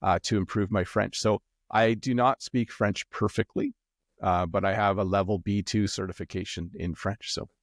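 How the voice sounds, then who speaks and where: A middle-aged Canadian man with a Canadian accent, chatting as an interview guest in a relaxed, rambling way with many ums and restarts. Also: lowish voice, moderate pace, no echo, clean studio sound. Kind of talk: casual interview chat.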